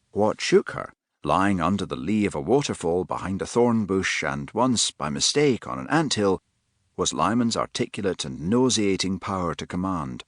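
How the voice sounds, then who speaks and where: A man with a very low voice reads a novel with a measured, expressive delivery. His pitch moves in a lively way rather than staying flat. The studio sound is dry, close and free of room echo. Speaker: audiobook narrator. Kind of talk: scripted narration.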